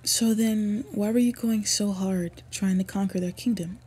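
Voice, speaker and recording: A woman playing an earnest, respectful young orc princess in a fantasy roleplay audio, speaking softly and haltingly with tender pauses. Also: medium pitch, fairly even intonation, slightly rough. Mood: questioning, subdued.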